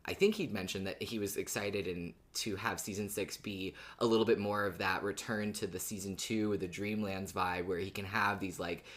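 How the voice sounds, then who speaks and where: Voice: deep voice, fairly even intonation, normal speed, hardly any pauses. A podcast co-host in casual fan discussion, talking in a joking, playful and sweary way.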